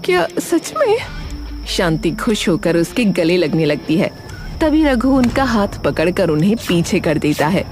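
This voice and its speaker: Expressive woman narrating a story. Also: fairly low pitch, a little slow. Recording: music under the voice.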